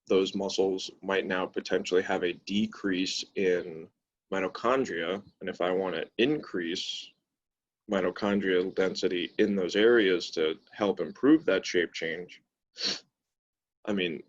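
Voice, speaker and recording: Male practitioner, very low voice, thinking out loud in casual shop talk over dull lo-fi call audio. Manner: tentative, thinking aloud. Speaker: call participant, fitness or rehab practitioner. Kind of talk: casual professional discussion. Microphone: conference call.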